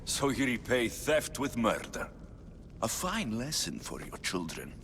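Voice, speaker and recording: A man's voice: medium pitch, big pitch swings, measured pace, a bit bright, a little hiss or hum. A man playing a tough, wry ancient Greek mercenary in video game cutscenes, speaking bluntly with dry menace. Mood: menacing, sardonic.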